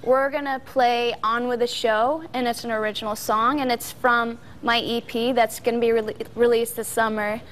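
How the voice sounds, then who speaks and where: Playful young woman, fairly even pitch. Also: normal speed, hardly any pauses, slight background noise. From born in Tokyo, moved to America as a child. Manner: playful, joking. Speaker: musician guest. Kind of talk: casual interview chat. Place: television studio.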